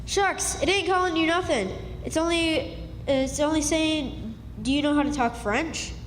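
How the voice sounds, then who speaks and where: A woman's voice: high voice, unhurried, noisy background. A woman playing a plucky know-it-all country boy in a radio play, with a folksy backwoods delivery. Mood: explaining, sure. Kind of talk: stage radio drama acting. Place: live stage in a hall. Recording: echoey hall, music behind.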